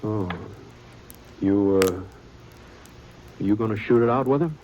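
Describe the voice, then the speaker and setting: Modest drifting gunslinger, drawling, stammering, lowish voice, old radio hiss. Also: male voice, slow, muffled recording. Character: drifting cowboy gunman, easygoing and modest. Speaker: lead actor and narrator. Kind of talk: 1950s radio drama acting.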